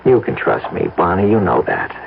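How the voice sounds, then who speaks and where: An actor playing a scheming, coaxing young newlywed husband in an old-time radio mystery, on a noisy vintage broadcast. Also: male voice, sits low, sing-song, normal speed, vocal fry, narrow, tinny audio, heavily acted.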